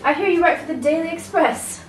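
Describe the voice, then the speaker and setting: A woman's voice: very high-pitched, a little fast, a little hiss or hum. A young woman acting in an amateur school drama, delivering teasing, scolding lines. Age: young adult. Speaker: student actor. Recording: echoey room from far off, music behind.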